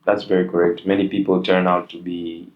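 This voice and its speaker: A man's voice: sits low, level tone, fairly quick, dull audio. A young man hosting a casual interview, talking in a hesitant way with many fillers. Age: young adult. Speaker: host.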